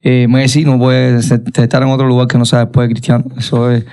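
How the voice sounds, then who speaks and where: A man's voice: medium pitch, flat delivery, fairly quick, darkish tone, clear, resonant tone. A male podcast host with a Cuban accent chats casually about sport. His delivery is emphatic, and he often repeats short words and phrases to drive them home. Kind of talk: casual, animated sports chat.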